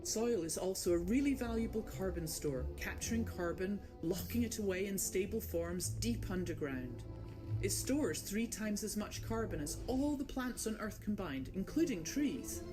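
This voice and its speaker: A woman's voice: medium pitch, moderate pace. Woman narrating a documentary over music. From United Kingdom. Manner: even, informative delivery.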